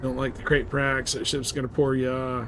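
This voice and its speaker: A man gives casual, slangy commentary as he plays a game on stream. He swears freely and talks in a salty way. There is a steady bit of noise in the background. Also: mid-range voice, moderate pace.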